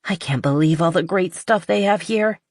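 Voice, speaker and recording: A woman's voice: fairly low pitch, moderate pace, dry recording, clean studio sound, pitched above the performer's own voice, lightly acted. A woman voicing a cheerful, artsy, chatty young woman in an audiobook romance. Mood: excited. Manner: chatty and enthusiastic. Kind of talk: audiobook character dialogue.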